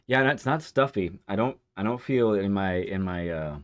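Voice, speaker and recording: Low-voiced man with a hoarse, phlegmy throat. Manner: chatty, reads out viewer names warmly. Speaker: live-stream host. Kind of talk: casual live-stream chat greeting viewers.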